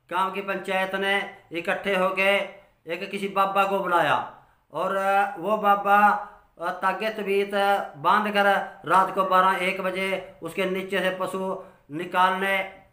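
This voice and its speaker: Older male farmer, 60s, bright forceful voice with little pitch movement, explaining from notes. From Haryana, India. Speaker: dairy farmer giving advice. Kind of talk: informal instructional talk from notes. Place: small curtained room at home. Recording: roomy indoor echo.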